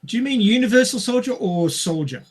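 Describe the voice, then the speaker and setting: A man's voice: extremely high pitch, lively intonation, brisk. A man speaking casually as a co-host on a podcast.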